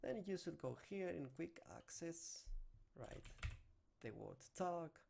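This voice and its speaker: Greek-accented man teaching, lively and gravelly. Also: fairly high pitch, slow, background noise. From Greece. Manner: non-native English phrasing, talking through on-screen steps. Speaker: programming instructor. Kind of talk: screen-recorded coding tutorial. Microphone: desk microphone.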